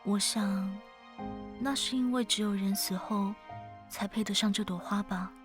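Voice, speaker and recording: 女声优饰演温柔忧伤的灵魂摆渡人，伴着配乐. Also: female voice, mid-range voice, steady tone, a little slow, close to an everyday voice. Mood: tender, solemn. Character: ageless female ferrywoman of souls, gentle, wistful, quietly teasing. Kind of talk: audio drama acting with reflective narration. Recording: studio voice over background music.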